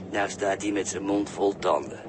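An actor playing a sly, patient bystander who is mocking and quietly menacing, in deliberate, condescending 1960s radio drama style. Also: male voice, deep voice. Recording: noisy early 1960s radio recording.

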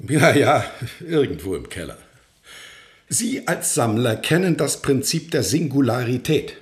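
An actor playing an eccentric, pompous philosopher in a radio drama, speaking in a professorial, aphoristic manner. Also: male voice, medium pitch, big pitch swings, unhurried. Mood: wry, musing. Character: eccentric, pompous male philosopher who renounced possessions. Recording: roomy, echoing sound.

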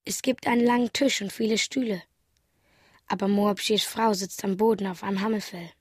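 A woman as the inner voice of a young, naive traveller in a radio drama. It is a husky, mid-pitched voice observing things in the present tense, recorded close with no room sound. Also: steady tone, normal speed, no echo, natural voice. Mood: observant, naive.

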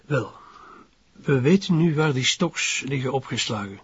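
Husky, guarded Flemish policeman. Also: medium pitch, animated, a little slow, a bit bright, no room sound, exaggerated voice. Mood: reluctant, uneasy. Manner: defensive, terse, hesitant. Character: guarded, cornered narcotics police officer, reluctant informant. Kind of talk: radio drama acting. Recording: dull radio drama recording.

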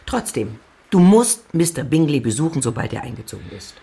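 Fretful, animated middle-aged wife. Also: female voice, rumbling low, fairly quick, vocal fry, husky, exaggerated voice. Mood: wounded, insistent. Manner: dramatic, complaining, insistent. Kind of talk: period radio drama acting.

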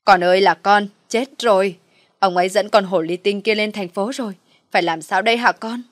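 A woman's voice: mid-range voice, moderate pace, no room sound, clean studio sound, lightly acted. A woman voicing an anxious, imploring middle-aged mother in an audio story. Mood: distraught.

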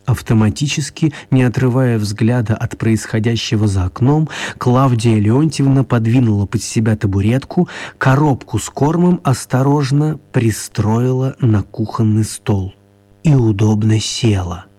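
A man's voice: medium pitch, a little slow, mellow. A man narrating a children's radio play in a scripted storytelling style.

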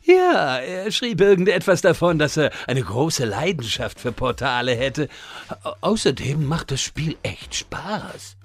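A man playing a naive, sheepish rookie wizard with a creaky voice in a parody radio play. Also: on the high side, very animated, a little slow, full character voice. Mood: sheepish, eager.

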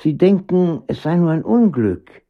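An actor playing a brooding, superstitious island man who speaks gravely and insistently. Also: fairly high pitch, big pitch swings, a little slow, phone-quality sound. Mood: insistent, ominous. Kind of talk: radio drama dialogue.

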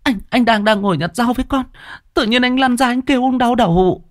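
A man's voice: very high-pitched, expressive, slow, no echo, studio quality, pitched above the performer's own voice, full character voice. A man voicing a panicked young village woman who stammers and cries out for help.

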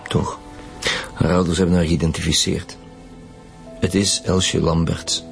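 A man's voice: low-pitched, flat delivery, unhurried, creaky, over music. A man playing a stern, probing Flemish police detective in a naturalistic radio drama, speaking formally. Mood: probing, measured.

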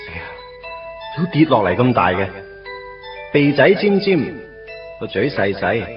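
Wistful lovesick hong kong guy late 20s, cantonese radio drama, lowish voice, music behind, bit muffled. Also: distant mic. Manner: nostalgic, conversational storytelling. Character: wistful man in his late twenties pining for first love. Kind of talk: radio drama acting, first-person narration. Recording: dull lo-fi radio recording.

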